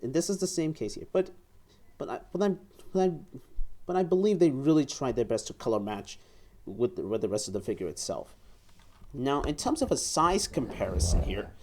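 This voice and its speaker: A man reviewing and demonstrating a toy at a table indoors, talking haltingly and often repeating words and phrases. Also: mid-range voice, lively intonation, darkish tone.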